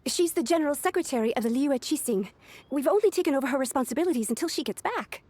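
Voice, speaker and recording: A woman's voice: sits high, lively intonation, fast talker, darkish tone, no echo. A woman playing a polite, earnest, worried young female secretary in a video game. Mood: earnest, concerned.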